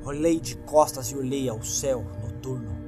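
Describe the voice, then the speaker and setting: A man's voice: mid-range voice, moderate pace, over music. A man with a Brazilian accent reads a scripted horror story in a dramatic, suspenseful manner. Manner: suspenseful, acts out the lines.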